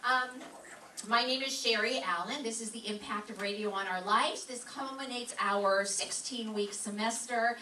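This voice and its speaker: A woman with a bright, fairly high voice speaks from some distance in an echoey room. She gives an informal, chatty welcome as director of a show, joking as she goes. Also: normal speed. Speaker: class director introducing the show. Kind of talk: informal welcome speech to an audience. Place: live show room with small audience. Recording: roomy, distant mic, faint noise.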